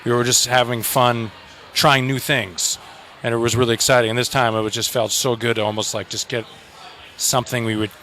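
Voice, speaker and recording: A man's voice: medium pitch, flat delivery, a little fast, sharp tone, slight background noise. A man in a rock band chatting casually in an outdoor festival interview, joking and rambling with restarts.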